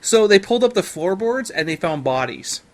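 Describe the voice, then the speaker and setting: A man's voice: sits high, very animated, normal speed. A man chatting casually on a podcast, telling stories in a jokey way and acting out the dialogue. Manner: jokey, swearing, acts out quoted dialogue. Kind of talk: casual podcast chat, animated storytelling.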